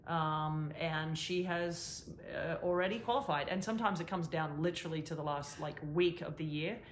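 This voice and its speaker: A woman's voice: low voice, moderate pace, reverberant, a little hiss or hum. A woman with an Australian accent co-hosting a casual tennis podcast, easygoing and agreeable. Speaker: podcast co-host, former tennis pro. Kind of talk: casual chat.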